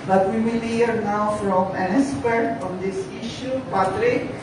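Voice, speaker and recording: A woman hosting a panel event, speaking haltingly with many pauses and fillers into a handheld mic. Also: medium pitch. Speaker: event host. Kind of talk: introducing a panel. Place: library event space with audience. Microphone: far camera, handheld mic. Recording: echoey room from far off, noisy.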